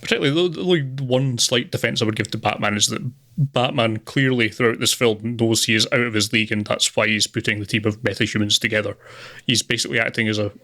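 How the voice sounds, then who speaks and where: A man co-hosting a film podcast, chatting casually in a hesitant, measured way. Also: mid-range voice, steady tone, sharp tone. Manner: hesitant, measured, laughs often. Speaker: podcast co-host. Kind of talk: casual film review chat.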